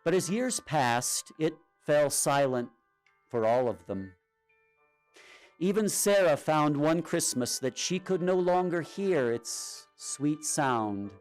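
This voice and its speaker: A man reading a children's story aloud in a theatrical, expressive way with drawn-out pauses. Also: a little high. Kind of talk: dramatic children's storytime narration. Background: music and sound effects.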